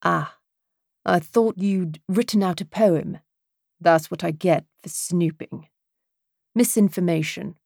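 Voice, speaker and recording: A woman voicing a polite, flirtatious British man in an audiobook, courteous and softly teasing. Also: fairly low pitch, slow, gravelly, pauses often, no echo, clean studio sound, heavily acted. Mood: friendly, flirtatious.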